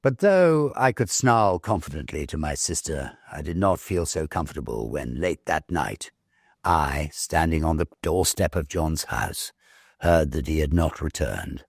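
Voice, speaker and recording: A very low-voiced British man narrates a classic tale with theatrical, measured care. His voice is creaky and swoops widely in pitch as he reads. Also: expressive, dry recording, clean studio sound. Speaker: storyteller reading a classic ghost story. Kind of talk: expressive dramatic audiobook narration.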